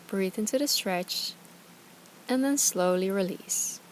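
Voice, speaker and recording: A woman teaching yoga and guided meditation, speaking calmly and soothingly in short, gentle instructions. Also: medium pitch, slight background noise, rough recording. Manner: calm, gentle, soothing guidance with short phrases.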